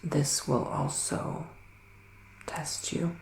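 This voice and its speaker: Male ASMR psychic witch: hushed, often whispered, creaky low-energy delivery, very unhurried, intimate tarot-reading style. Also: wildly swooping pitch, echoey room, rough recording. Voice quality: soft, breathy, often dropping to a whisper. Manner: slow, deliberate, pausing, soothing delivery. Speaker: ASMR performer playing a psychic tarot reader.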